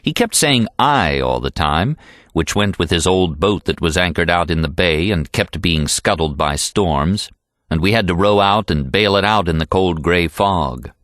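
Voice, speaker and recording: A man's voice: low voice, animated, moderate pace, fairly bright tone, no echo, studio quality. An American man reading a literary novel aloud as an audiobook narrator.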